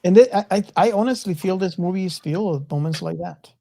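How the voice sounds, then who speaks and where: A man hosting a casual film discussion podcast, speaking in a measured, hesitant, roundabout way. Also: sits high, creaky, mellow, dry recording. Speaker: podcast host.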